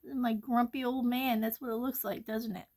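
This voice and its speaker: A woman's voice: on the high side, flat delivery, a little fast, darkish tone. A woman hosting an art channel, chatting casually while she sketches, a little congested from allergies and often dropping to a near-whisper.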